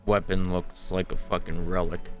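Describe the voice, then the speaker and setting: A man's voice: sits low, level tone, normal speed, faint music behind. A man streaming a game, giving casual, slangy running commentary and reacting aloud with some swearing.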